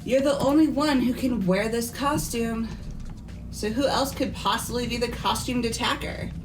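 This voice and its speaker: A woman's voice: fairly high pitch, normal speed, close to an everyday voice. A woman voicing a blunt, accusing, headstrong teenage girl in a fan-voiced visual novel. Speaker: voice actor in fan game playthrough. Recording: music under the voice.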